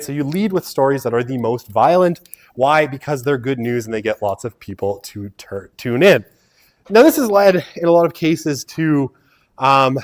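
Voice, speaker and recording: A male university lecturer talks to his class in a relaxed, rambling way. He keeps checking in with "right?" and throws in plenty of "um"s. Now and then he punches a word out loudly before sliding back into casual talk. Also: mid-range voice. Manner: loose and conversational, lots of "right?" and "um"; animated, swings to loud emphasis and mutters asides. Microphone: wireless lavalier mic.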